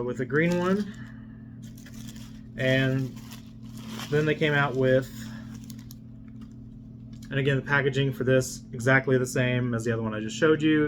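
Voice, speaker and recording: A man with a medium-pitched voice talks through an unboxing in an unscripted, conversational style. He rambles along casually like a vlogger showing items to viewers. A steady hum runs in the background of the recording.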